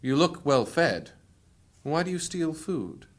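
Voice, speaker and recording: A man playing an imperious, commanding high priest in a fantasy audio drama, speaking formally. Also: sing-song, slow pace, heavily acted. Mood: stern, menacing.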